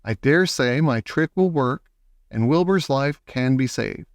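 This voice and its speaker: A man voicing a female spider in a storybook, brisk, orderly and slightly impatient as she runs things. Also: medium pitch, animated, no room sound, studio quality. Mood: businesslike. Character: female spider, brisk bossy meeting leader.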